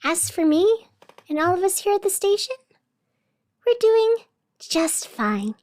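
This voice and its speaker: An actress playing an anxious radio host in a scripted fictional broadcast, deliberate and emphatic with strained cheerfulness. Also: female voice, extremely high pitch, sing-song, a little mellow, no room sound, exaggerated voice. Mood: strained cheer. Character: anxious woman radio host insisting everything is fine.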